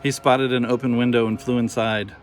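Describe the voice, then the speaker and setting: A man reads a children's story aloud as narrator. His mid-pitched voice is expressive, and faint music plays along with it. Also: fairly quick. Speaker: storybook reader.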